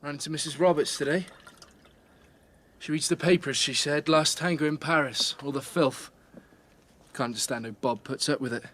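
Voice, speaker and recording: A man's voice: a little high, moderate pace, pauses often, a bit bright, slight background noise, close to an everyday voice. An actor playing a troubled, bitter rural man in shock in a radio drama, speaking in clipped, disjointed phrases. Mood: evasive, flat. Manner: clipped, disjointed, rambling off the point. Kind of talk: naturalistic radio drama dialogue.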